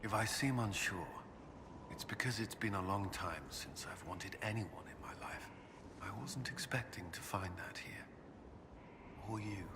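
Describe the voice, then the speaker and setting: Low-voiced earnest soldierly man. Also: noisy background. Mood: shy, sincere. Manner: hesitant and halting in intimate talk. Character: earnest, soft-spoken male military commander, awkward in love. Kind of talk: dramatic video game dialogue.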